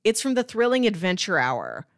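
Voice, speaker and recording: A woman's voice: medium pitch, huge pitch swings, moderate pace, no echo, studio quality. A woman co-hosting a casual review discussion, thinking aloud in a conversational, hedging way. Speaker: podcast co-host reviewing comics.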